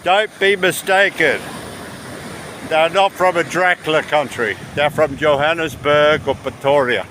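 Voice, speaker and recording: A man's voice: a little high, fairly even intonation, measured pace, sharp tone. A man giving casual, rambling commentary as a travel vlogger, walking outdoors along a beach. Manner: rambling, chatty. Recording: windy outdoor recording with surf noise. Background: wind, waves, outdoor ambience.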